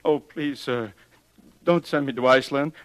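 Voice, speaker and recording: A man's voice: medium pitch, normal speed, no echo, lightly acted. An actor playing an anxious, cowardly male subordinate, agitated and stammering, in a 1950s radio drama. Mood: panicked pleading. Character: anxious, excitable, cowardly man, pleading subordinate.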